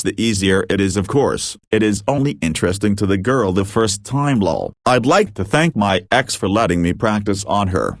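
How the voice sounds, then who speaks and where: A man with a fairly low voice reads a run of online posts aloud, one after another. His delivery is flat and steady, the way a narrator reads text off a page. The sound is close and dry, with no room around it. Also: dry recording. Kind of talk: read-aloud story narration.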